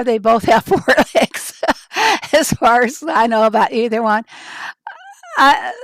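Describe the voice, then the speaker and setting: A woman with a raspy voice, hoarse from a summer cold, chatting her way through a livestream in a loose, rambling manner. Her voice sits a little high, and she greets viewers from the chat as she goes. The sound is dry, with no room echo. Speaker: livestream host.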